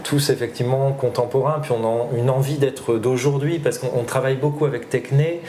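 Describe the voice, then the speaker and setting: Man, conversational interview answers, explanatory and halting, echoey room, faint background noise. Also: medium pitch, fairly even intonation, warm tone. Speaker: theatre director and drama teacher being interviewed.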